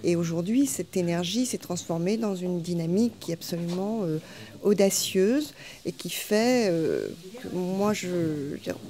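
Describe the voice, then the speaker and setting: Low, mellow woman choosing her words. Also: unhurried. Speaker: interviewee. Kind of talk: reflective interview talk.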